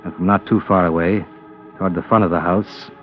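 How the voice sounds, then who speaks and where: An actor playing a tough, principled American investigator in a vintage radio drama, speaking in a terse, firm, wry manner. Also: male voice, low-pitched, fairly even intonation, fairly quick, close to an everyday voice. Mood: dry narration. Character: tough, principled male insurance investigator, hard-boiled and resolute. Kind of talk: 1940s-50s radio drama acting and narration. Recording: dull old radio recording, music behind.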